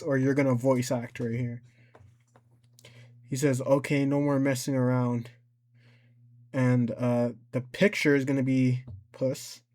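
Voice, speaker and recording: A man's voice: medium pitch, darkish tone. A man hosting an informal, improvised step-by-step screen tutorial, thinking aloud as he goes. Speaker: tutorial host.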